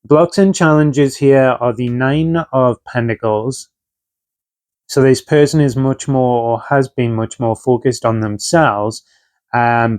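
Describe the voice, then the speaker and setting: A man gives a relaxed tarot reading with plenty of fillers and asides. He is still recovering from a chest infection, and his mid-range voice sits close and dry with no room sound around it. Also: medium pitch, no room sound. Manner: conversational, with frequent fillers and asides. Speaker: tarot reader. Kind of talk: casual tarot reading to camera.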